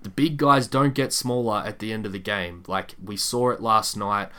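A man co-hosting a sports podcast, giving measured, candid analysis in a casual discussion. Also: fairly low pitch, sharp tone, clean tone.

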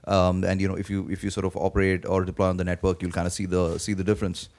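A man being interviewed as a guest, answering in a halting way with many fillers, his voice worn from days of talking. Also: low-pitched, monotone, darkish tone. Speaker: guest, blockchain project team member. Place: conference venue.

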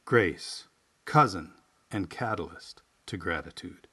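A man. He speaks as a solo podcast host and writer, with a folksy, unhurried delivery. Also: low-pitched, expressive, bright, forceful tone, breathy edge. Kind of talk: casual monologue and reflective essay reading.

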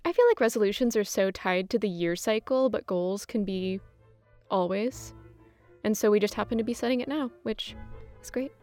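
A woman's voice: medium pitch, moderate pace, a little mellow, faint music behind. A woman co-hosting a podcast in a casual, conversational style. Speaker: podcast host.

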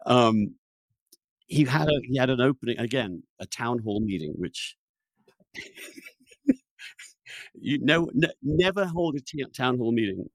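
A man's voice: mid-range voice, animated, unhurried, creaky, pauses often, dry recording, low-quality recording. A man hosting a podcast, giving opinionated conversational commentary and often repeating and restarting his phrases. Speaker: podcast host.

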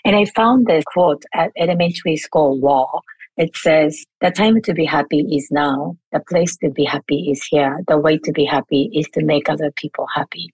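A middle-aged woman from Japan with a Japanese accent, answering interview questions thoughtfully, pausing to search for words. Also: low voice, a little mellow, slightly rough, no room sound, rough recording. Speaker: guest, elder care researcher.

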